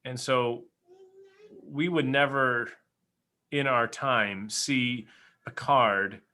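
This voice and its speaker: Bright-voiced man chatting as podcast host. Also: mid-range voice, steady tone, drawn-out words. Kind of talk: casual fan discussion.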